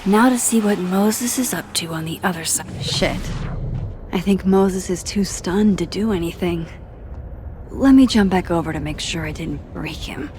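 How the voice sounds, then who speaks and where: A woman playing an earnest, anxious young woman in a video game drama, with a halting, pleading way of talking. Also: fairly low pitch, a little mellow, slight background noise, natural voice. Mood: hopeful, musing. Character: earnest, anxious young woman with secret timeline-hopping power.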